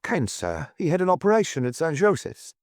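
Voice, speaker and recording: A man's voice: fairly high pitch, sing-song, fairly quick, a little mellow, no echo, studio quality, voice pushed up. A man voicing a nervous, cautious male bookkeeper who gives guarded answers in an audiobook. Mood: guarded, uneasy. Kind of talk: audiobook character dialogue.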